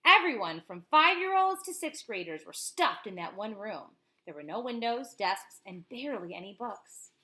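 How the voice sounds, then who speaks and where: A woman's voice: mid-range voice, very animated, moderate pace, few pauses, bright, forceful tone. A woman teacher reading a picture book aloud expressively, with informal explanatory asides to children.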